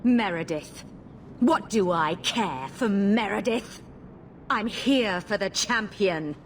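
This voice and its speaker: A woman's voice: medium pitch, animated, slow, slight background noise. A woman playing a ruthless, vengeful rebel blood mage in a fantasy video game, cold and commanding. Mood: bitter, vengeful.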